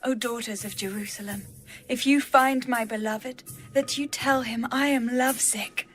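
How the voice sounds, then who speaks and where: A woman's voice: fairly high pitch, steady tone, moderate pace, a bit bright, faint music behind, lightly acted. A woman playing a lovesick, yearning young bride in a poetic, tender dramatized scripture reading. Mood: lovesick, anguished. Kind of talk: dramatized audio Bible reading.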